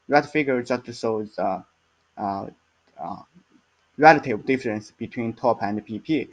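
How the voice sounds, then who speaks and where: A man giving a technical slide presentation as a research scientist, speaking in a halting, hesitant way. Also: medium pitch, muffled recording.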